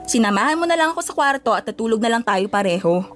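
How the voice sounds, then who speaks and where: A woman's voice: on the high side, animated, fast, faint music behind, close to an everyday voice. A Filipino woman voicing a lovestruck, jealous, clingy young office worker in an audio drama. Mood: sulky, jealous.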